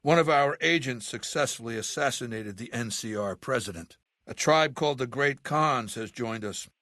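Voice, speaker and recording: An actor playing a ruthless, intellectual warlord dictator in a video game, speaking in a commanding, articulate and contemptuous way. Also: male voice, medium pitch, normal speed, a bit bright, no room sound, studio quality, close to an everyday voice. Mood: stern, businesslike. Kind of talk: video game character acting.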